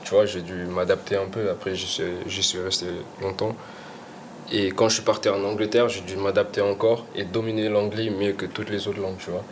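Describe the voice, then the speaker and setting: A man's voice: on the low side, flat delivery, a little fast, slight background noise. A young man, a rapper guest, chatting casually in slangy talk, often trailing off and restarting his sentences. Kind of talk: casual chat.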